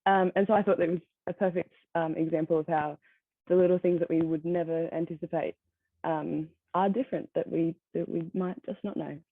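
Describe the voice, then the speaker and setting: Teenage woman, soft-spoken with ums, lowish voice, tinny phone-like line. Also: fairly even intonation, a little fast, no echo. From Australia. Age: teens. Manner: soft-spoken, many ums, laughs lightly. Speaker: conference speaker. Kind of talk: presentation talk over a video call. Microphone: video call.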